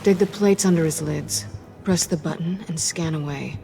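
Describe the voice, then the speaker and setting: Tough sly thief lady in a video game, lowish voice, teasing, music playing behind. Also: moderate pace, vocal fry. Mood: brisk, businesslike. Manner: wry, teasing. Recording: game audio under loud music.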